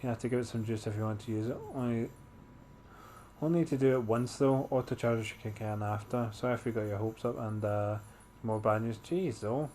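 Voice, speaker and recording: A man's voice: mid-range voice, brisk, darkish tone, a little hiss or hum. Casual man commentating on a game. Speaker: gaming video host. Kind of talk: casual gameplay commentary, reading on-screen text.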